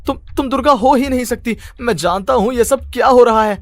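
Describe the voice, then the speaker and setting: A man's voice: extremely high pitch, fairly quick, noisy background, voice pushed up. A man voicing an anxious, pleading young husband in a dramatized horror cartoon story, agitated and prone to stammer. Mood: panicked, frightened. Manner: agitated, stammering when frightened.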